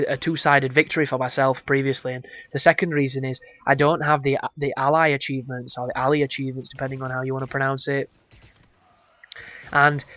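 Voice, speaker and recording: A man with a hoarse voice, giving casual, crude, rambling commentary over a game walkthrough. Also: medium pitch, a bit bright, dry recording. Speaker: gameplay commentator.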